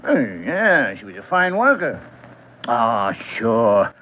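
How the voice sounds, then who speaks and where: Old-time radio role: chatty, slippery union steward, swooping intonation, dull vintage sound. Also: male voice, medium pitch, big pitch swings, takes it slowly, a little hiss or hum. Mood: breezy. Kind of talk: 1940s radio drama acting.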